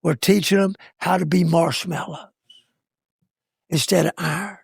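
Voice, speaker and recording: A man with a raspy voice, a coach co-hosting a podcast, talking in punchy, preachy motivational bursts. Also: high voice, steady tone, a little slow, no echo, clean studio sound. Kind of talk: casual podcast chat.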